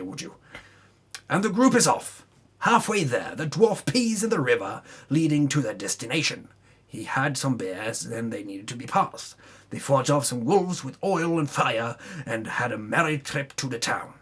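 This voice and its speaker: A man's voice: on the high side, slightly rough. A man narrating a tabletop campaign recap as a dramatic storyteller, with theatrical delivery and casual asides.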